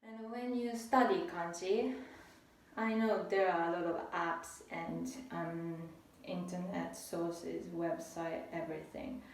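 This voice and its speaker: A young adult woman from Japan, speaking English with a Japanese accent, giving a casual, halting language lesson as a tutor. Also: fairly low pitch, fairly even intonation, distant mic, background noise. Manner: unhurried, halting, restarts phrases. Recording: echoey room, voice off-mic.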